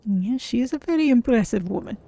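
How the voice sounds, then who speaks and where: A woman voicing a gentle, courteous female cat-folk traveler, musing as she streams a video game. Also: on the high side, expressive, a little fast, creaky, dry recording. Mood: polite, musing. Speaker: streamer role-playing a game character. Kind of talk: in-character commentary on a video game. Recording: faint game ambience noise.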